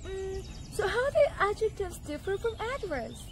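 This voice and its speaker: A woman voicing a cheerful, friendly small animal puppet with a squeaky, cutesy delivery in a scripted educational show. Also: very animated, normal speed, slight background noise. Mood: friendly, explaining. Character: cheerful young female rabbit and mouse puppets, friendly and eager.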